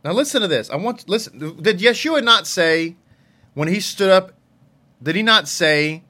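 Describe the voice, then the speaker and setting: A man's voice: sits high, lively intonation, unhurried, bright, forceful tone. A man giving an informal scripture lesson as a religious teacher, repeating phrases for emphasis. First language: English.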